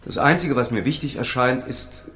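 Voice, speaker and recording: A man acts out a principled courtroom defender for a radio play. His mid-pitched delivery is formal and measured, earnest and quietly stubborn. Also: natural voice. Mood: earnest, insistent. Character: earnest, insistent male defence lawyer in court. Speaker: actor in radio crime drama. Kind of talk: German radio play acting.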